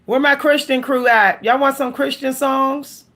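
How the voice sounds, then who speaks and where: A woman's voice: high-pitched, fairly even intonation, a little slow, a bit bright. A woman hosting a casual livestream, chatting with viewers, laughing often and breaking into song. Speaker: livestream host. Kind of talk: casual livestream chat with singing.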